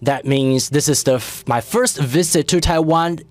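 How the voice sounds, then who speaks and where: A man giving live interpretation of a conference speech, halting, with frequent fillers. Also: fairly high pitch, no room sound. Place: conference hall.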